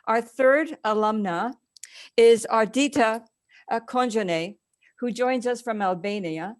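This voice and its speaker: A woman's voice: on the high side, slow pace. A woman, an alumni coordinator, formally introducing speakers with some hesitations in an online info session. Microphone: video call.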